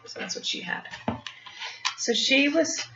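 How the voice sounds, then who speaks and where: An older woman reads a picture book aloud like a grandmother, pausing for gentle explanations and questions to the child. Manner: gentle teacherly asides, asking the child questions. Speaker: grandmother storyteller reading to children. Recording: muffled, roomy home recording with faint noise.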